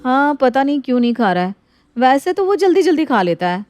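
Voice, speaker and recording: A woman's voice: high-pitched, sing-song, fairly quick, a little mellow, no echo. A woman voicing a young mother and younger sister in a comic story, coaxing and mildly defensive. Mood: puzzled, defensive.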